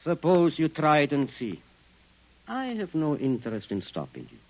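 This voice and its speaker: A coldly superior Venusian alien. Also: male voice, a little high, moderate pace, low-quality recording. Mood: cool menace. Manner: calm, condescending, menacing. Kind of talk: 1950s radio drama acting.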